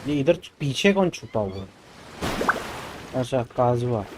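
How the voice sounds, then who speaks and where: Male streamer, Indian accent, Hindi native: mid-pitched, casual game commentary and read-outs. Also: big pitch swings, rapid-fire, noisy background. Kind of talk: casual game commentary and reading dialogue aloud.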